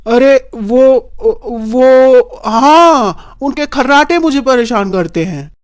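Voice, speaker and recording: A woman voicing a meddling, bossy middle-aged mother-in-law in an animated family comedy, with a theatrical, drawn-out delivery. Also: a little high. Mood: flustered excuses. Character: meddling, scheming mother-in-law who relishes spoiling couple's privacy. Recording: music bed under the voice.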